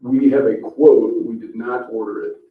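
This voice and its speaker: A man's voice: medium pitch, fairly even intonation, normal speed. An American man chairing a public meeting, talking informally through business items in a meeting room. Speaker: commission chair running a meeting. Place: indoor town meeting room. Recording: roomy, distant mic, dull, faint hiss.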